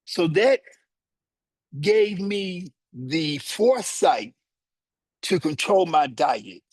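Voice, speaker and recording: A man in his seventies teaching yoga, guiding students through their body and explaining the practice in a slow, deliberate, explanatory way with short pauses. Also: high-pitched, animated, darkish tone, breathy edge, no echo. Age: 70s. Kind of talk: guided yoga instruction with teaching asides.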